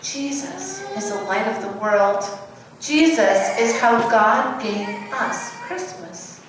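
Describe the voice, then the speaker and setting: A woman voicing a gentle, patient mother bear who explains things reassuringly in a children's storybook reading. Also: a little high, very animated, slow. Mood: reverent. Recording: echoey room, distant mic, background noise.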